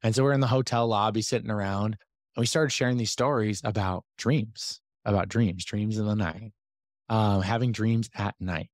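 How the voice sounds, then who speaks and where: A man hosting a business podcast, talking casually to his community with a slightly hoarse voice and lots of fillers and asides. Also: on the low side, normal speed, no echo, studio quality. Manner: energetic, chatty, many fillers and asides. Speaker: podcast host and business coach. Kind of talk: casual talk to a community call.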